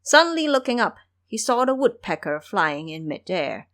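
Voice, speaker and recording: A woman narrates an audio drama in a scripted storytelling style. Her pitch is very lively, rising and falling across each sentence. It sits in a middle range for a woman. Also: moderate pace.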